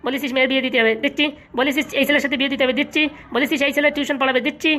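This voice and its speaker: A woman's voice: sits high, steady tone, racing, fairly bright tone. A woman voicing a gullible, wealthy male politician in a comic cartoon, grumbling familiarly in a rural Bengali accent. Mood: grumbling, admiring.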